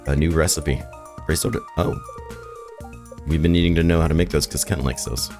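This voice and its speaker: A hoarse man with a raspy, rough voice, rambling and thinking aloud as he plays through a farming game in casual commentary. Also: extremely low pitch, fairly even intonation, brisk, darkish tone. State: hoarse from shouting the night before. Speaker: gaming video host. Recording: game music behind the voice. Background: game music.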